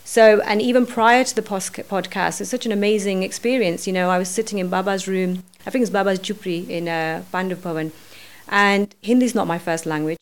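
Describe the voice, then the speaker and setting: A middle-aged woman, a podcast guest, talking earnestly and thinking aloud, often pausing with fillers. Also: medium pitch, fairly even intonation. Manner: earnest, thinking aloud with many "you know"s. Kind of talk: reflective podcast conversation.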